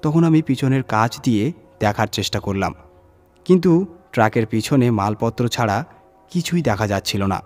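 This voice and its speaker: A man's voice: mid-range voice, lively intonation, normal speed, darkish tone. A man reading a scripted first-person horror story as its narrator. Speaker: story reader. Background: background music.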